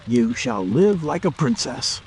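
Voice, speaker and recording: A man's voice: medium pitch, big pitch swings, measured pace, a little mellow, slight background noise, low-quality recording. A man playing a drunken, brash, lecherous moneyed suitor in a dramatic audiobook. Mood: pushy, coaxing. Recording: faint rain ambience behind.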